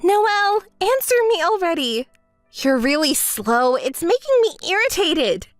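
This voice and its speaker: A woman's voice: sing-song, a little slow, soft background music, exaggerated voice. A woman voicing a spoiled, entitled young woman in a melodramatic story, whiny and demanding. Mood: panicked, agitated. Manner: whiny, demanding, shrill outbursts.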